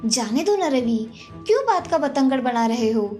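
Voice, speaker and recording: A woman voicing a brash, short-tempered young wife in an animated moral story. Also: high-pitched, normal speed, soft background music. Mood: defensive.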